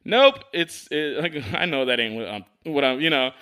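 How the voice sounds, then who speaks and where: Bright-voiced man bantering on a podcast. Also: on the high side, moderate pace, clean tone. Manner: slangy, profane, animated storyteller. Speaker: podcast host.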